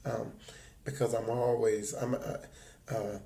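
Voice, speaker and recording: Raspy, gravelly-voiced man chatting casually. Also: mid-range voice, flat delivery, warm tone. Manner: rambling, halting, chatty and earnest. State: just woke up, voice hoarse. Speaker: livestream host. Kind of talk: casual livestream chat.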